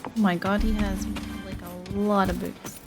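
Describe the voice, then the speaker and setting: A young woman doing casual, expressive playthrough commentary and reading game text aloud. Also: mid-range voice, measured pace, music in the background. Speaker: gaming streamer.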